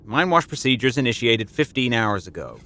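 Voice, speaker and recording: An actor playing a cold villainous doctor in an audio drama, detached and matter-of-fact. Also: male voice, mid-range voice, sing-song, fairly quick, pushed and bright. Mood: clinical, detached. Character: cold clinical male doctor, calm torturer.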